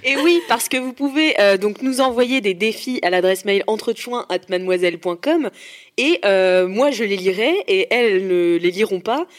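A woman's voice: mid-range voice, normal speed. A woman co-host announcing a challenge segment on a podcast in a playful, casual way. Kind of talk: casual chat.

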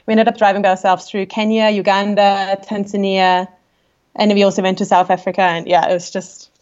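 A woman's voice: mid-range voice, level tone, a little fast, a little mellow. Austrian woman in her twenties, kiwi-tinged accent, chatty guest, slightly muffled line. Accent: Austrian with a New Zealand tinge. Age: 20s. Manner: chatty, laughs often, restarts sentences. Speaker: podcast guest, travel photographer. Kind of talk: casual interview chat.

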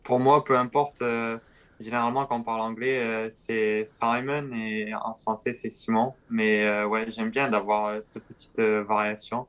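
A young man with a slight southern French accent, answering interview questions in a hesitant way with many pauses and fillers. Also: on the low side, flat delivery, fairly bright tone, clear, resonant tone. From southern France. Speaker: guest, pro cyclist.

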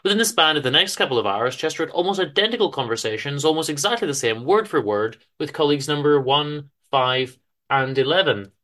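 A man giving a dramatic reading of a short story, in a dry, measured, formal manner. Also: on the high side, lively intonation, bright, forceful tone. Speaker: author-narrator reading his own story on a podcast.

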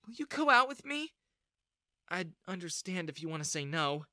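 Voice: mid-range voice, big pitch swings, normal speed, bright, forceful tone, no echo, clean studio sound, pitched above the performer's own voice. A performer voicing a feisty, tender-hearted young daughter, protective and earnest, in an audio story. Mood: shy, earnest.

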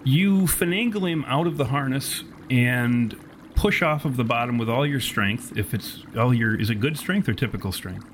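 A man's voice: mid-range voice, moderate pace, a little hiss or hum. A man improvising narration as game master of a tabletop roleplaying game, with wordy, storyteller phrasing.

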